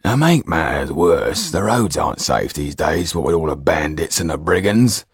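A man's voice: very deep voice, animated, moderate pace, slightly rough, no echo, exaggerated voice. A man voicing a resentful coachman in an audiobook, grumbling and sarcastic.

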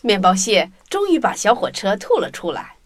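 A woman's voice: mid-range voice, sing-song, normal speed, no room sound. A woman reading scripted narration for a children's story.

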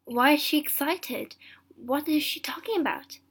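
An old storybook husband, played by a woman reader, fearful and troubled. The voice is raised and high, with halting, emphatic pleas for reason. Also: a bit bright. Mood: alarmed, puzzled.

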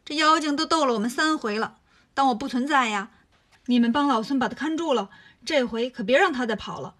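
A woman's voice: sits high, fairly bright tone. Woman doing a cocky monkey king. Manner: brisk, cocky, scolding. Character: bold proud monkey king, male, impatient.

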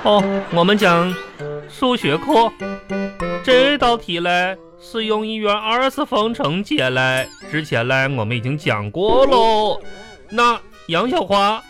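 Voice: mid-range voice, very animated, takes it slowly. Actor doing a fussy schoolteacher, drawly and scolding, radio comedy, music behind. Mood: fussy, upbeat. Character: fussy, exasperated schoolteacher with drawn-out folksy speech. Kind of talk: comedy radio sketch acting.

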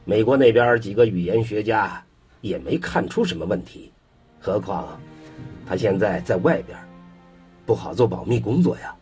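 Man acting an animated, low-pitched authoritative leader. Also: moderate pace, soft background music, natural voice. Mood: cautious. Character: authoritative, cautious male command-centre leader. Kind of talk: Chinese sci-fi radio drama acting.

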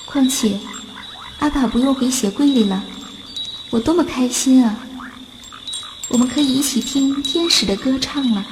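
A woman playing a lovelorn young sock in a fairy-tale radio drama, speaking her inner thoughts softly and plaintively. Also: high voice, normal speed, pauses often, noisy background, close to an everyday voice. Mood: tender, glad. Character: wistful, lovelorn young female left-foot sock. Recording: long reverb, music behind. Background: clear background music.

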